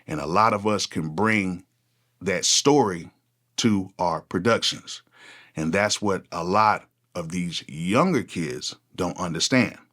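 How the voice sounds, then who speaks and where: Forties male producer, deep low voice, relaxed unscripted vlog chat. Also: slow pace. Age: 40s. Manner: casual, conversational, repeats "go ahead" often. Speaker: music producer, channel host. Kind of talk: unscripted one-take talk to camera.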